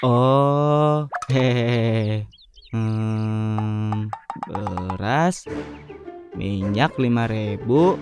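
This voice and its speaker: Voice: mid-range voice, very slow, music in the background. An actor voicing a reluctant, grumbling youngster sent on errands in a cartoon, hesitant and whiny.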